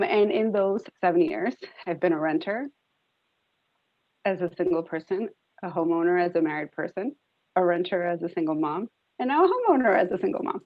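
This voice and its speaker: A woman's voice: fairly low pitch, dry recording. A middle-aged American woman introducing herself informally as a task force member on a video call with muffled audio. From Rhode Island, United States. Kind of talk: informal self-introduction in a meeting.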